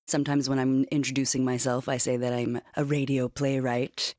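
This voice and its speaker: A woman's voice: rumbling low, flat delivery, normal speed, a little mellow. A woman from Canada, an audio artist, talking earnestly and reflectively in an interview, repeating phrases for emphasis. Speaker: audio artist and radio playwright.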